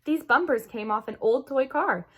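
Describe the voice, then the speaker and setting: A woman voicing a warm, nostalgic father in a storybook, exclaiming gently and wistfully. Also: high-pitched, moderate pace, breathy edge, pitched above the performer's own voice. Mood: fondly nostalgic.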